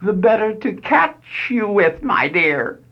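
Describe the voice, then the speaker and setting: A woman's voice: medium pitch, very animated, takes it slowly, heavily acted. A woman reading a storybook aloud, voicing a wicked, cunning male wolf who makes his voice falsely sweet. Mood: sly. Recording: dull lo-fi storybook recording.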